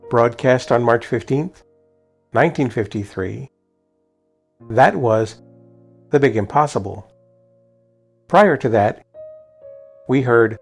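Slow, formal male presenter. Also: medium pitch. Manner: deliberate, formal, wordy. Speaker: presenter of old-time radio listening night. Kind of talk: formal scripted-sounding presenting. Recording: dull online meeting audio.

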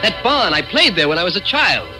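Casting: earnest, bewildered man recounting uncanny events for vintage radio, highly expressive delivery over music. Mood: awed, suspenseful. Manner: dramatic storytelling, halting when nervous in dialogue. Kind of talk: old-time radio drama, first-person narration. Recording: old-time radio recording with music behind.

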